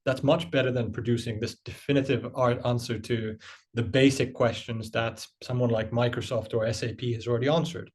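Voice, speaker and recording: A man of Finnish origin speaks as a guest on an interview podcast. He thinks aloud, pausing on fillers and restarting phrases. His voice sits at an ordinary middle pitch. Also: fairly even intonation, a little mellow. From Finland. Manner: hesitant, many fillers and restarts. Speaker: guest, marketing executive. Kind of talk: conversational interview answers.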